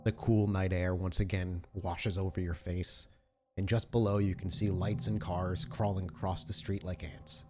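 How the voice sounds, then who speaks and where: A man runs a tabletop horror game as its storyteller, painting scenes with deliberate, detailed description. His voice sits on the low side, with music clearly playing underneath. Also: level tone. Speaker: game master.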